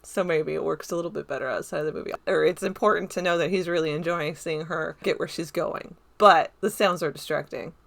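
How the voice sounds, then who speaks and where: A woman's voice: low-pitched, fairly even intonation, fairly quick, gravelly, hardly any pauses, soft background music. A woman giving casual, unscripted film commentary in a rambling, enthusiastic, conversational way. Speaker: video essayist reviewing a film.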